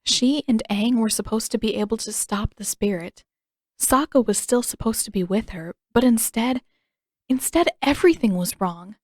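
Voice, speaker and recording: A woman's voice: on the high side, moderate pace, a little mellow, no echo. A woman reading scripted story narration expressively, as an audiobook narrator close to the mic.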